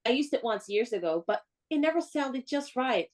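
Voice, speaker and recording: A woman's voice: sits high, lively intonation, normal speed. An actress playing a spirited, playful, bossy young woman in a radio play, with a theatrical, instructing manner. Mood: breezy, decisive.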